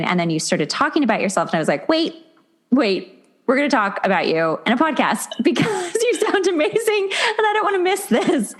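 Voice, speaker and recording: A woman hosting a podcast in a casual, conversational interview style, effusive and informal. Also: mid-range voice. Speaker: podcast host.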